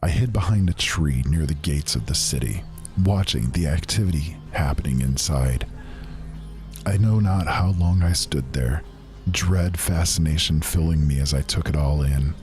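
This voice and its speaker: A haunted, sorrowful man , played by an actor, tells a tale of dark fantasy horror. His voice is extremely low and his phrasing solemn, archaic and broken by pauses, with music playing softly underneath. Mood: horrified, dread. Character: haunted, grief-stricken man recalling horrors of undead. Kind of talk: dramatic first-person fantasy narration.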